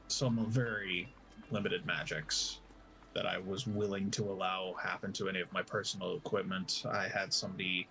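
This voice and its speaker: A man with a low voice speaks slowly as a tabletop roleplay player, improvising his character's story. He pauses and corrects himself often, with music playing underneath. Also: sharp tone, low-quality recording. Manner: halting, self-correcting.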